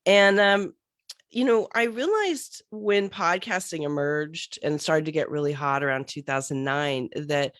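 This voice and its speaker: A middle-aged woman with a cold, hoarse and a bit scratchy, chatting animatedly as a podcast guest with many fillers. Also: deep voice, unhurried, no room sound, clean studio sound. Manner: animated, thinks aloud with many fillers and restarts. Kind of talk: casual interview chat.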